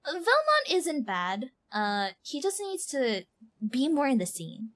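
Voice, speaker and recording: A woman streaming a game, giving casual live reaction commentary in an animated, exaggerating manner. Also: sits high, measured pace. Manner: animated, mimics lines with exaggeration.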